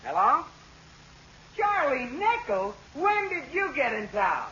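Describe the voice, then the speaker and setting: An actor playing an excitable, easily exasperated husband with an emphatic, blustering manner in a 1940s radio sitcom. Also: male voice, very high voice, slow pace, full character voice. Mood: hearty, jovial. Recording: scratchy muffled old-time radio recording.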